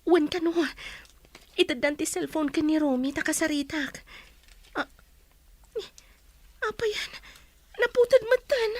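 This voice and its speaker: Anxious ilocano young wife, high dry voice, pleading. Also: female voice, animated, a little slow, long pauses, dry recording. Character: anxious young wife awaiting news of husband. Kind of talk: radio drama acting.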